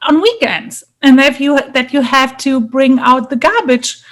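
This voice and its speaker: Interview guest, female, high voice, German accent, conversational, halting phrasing with check-ins. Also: lively intonation, fairly bright tone. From Germany, Munich. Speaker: interview guest, leadership coach and businesswoman.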